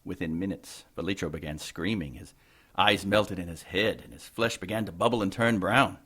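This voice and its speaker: A man playing an older, formal and deferential corrupt bishop in an audio drama, with measured diction. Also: deep voice, pushed and bright, husky, heavily acted. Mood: grave, measured.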